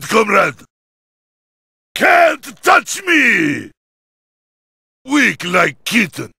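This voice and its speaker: A massive, fierce Russian wrestler from a fighting game. He shouts his boasts and battle cries in a deeply gravelly voice with a Russian accent, pushed high with strain. The delivery is bombastic and taunting. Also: dry recording, studio quality, heavily acted. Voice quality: gravelly, raspy.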